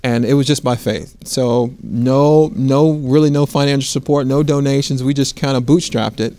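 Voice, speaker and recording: A man's voice: mid-range voice, fairly even intonation, normal speed, mellow, dry recording. A middle-aged American man telling his story as an interview guest, earnest, often rephrasing as he goes. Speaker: interview guest, restaurant owner. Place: quiet indoor studio set with armchairs. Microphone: lapel mic.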